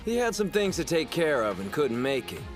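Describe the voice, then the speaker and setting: Lively, smooth-talking schoolboy. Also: male voice, fairly high pitch, normal speed, music in the background. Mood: composed. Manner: polite but sly, self-assured. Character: smooth-talking, confident teenage male sumo club member. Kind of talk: anime dub acting.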